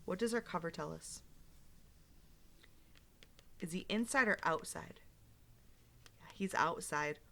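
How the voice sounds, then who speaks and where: A woman's voice: fairly low pitch, slow, gravelly, pauses often, fairly bright tone. A woman teacher doing an interactive read-aloud for young children, explaining simply, asking questions and reading expressively.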